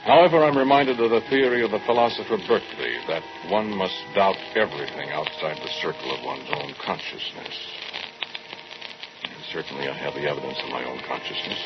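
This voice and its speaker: A man's voice: a bass voice, brisk, loud music behind the voice. A man playing a proud, coldly rational scientist in a 1940s radio horror drama, speaking in a pedantic, declamatory way. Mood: pompous, musing. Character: proud, obsessive male scientist, coldly rational, defensive doctor. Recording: noisy, muffled old radio drama recording.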